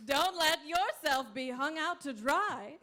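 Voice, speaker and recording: A woman's voice: high-pitched, lively intonation, slow, bright, forceful tone, full character voice. A woman playing a chirpy, pushy saleswoman in a parody radio commercial. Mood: peppy, salesy. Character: peppy, pushy infomercial saleswoman.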